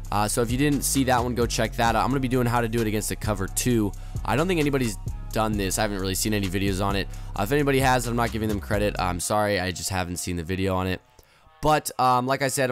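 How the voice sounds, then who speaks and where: A young man with a hoarse, somewhat low voice walks through a football video game play by play. His delivery is relaxed and explanatory, full of fillers, with faint music playing underneath. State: hoarse from illness. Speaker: gaming video host. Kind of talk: casual gameplay tutorial commentary.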